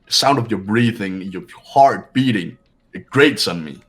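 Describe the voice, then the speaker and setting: A man's voice: on the low side, expressive, unhurried, fairly bright tone, breathy edge, heavily acted. A man voicing a haughty, cold young man in a fan-voiced game, with a disdainful, pompous delivery.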